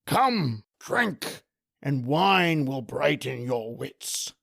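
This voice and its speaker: Cruel tipsy king, bellowing, imperious, mocking, slow drawn-out delivery, wildly swinging pitch, radio horror play. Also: big pitch swings, gravelly, fairly bright tone, no room sound, clean studio sound, full character voice. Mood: jovial, commanding. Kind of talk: old-time radio horror acting.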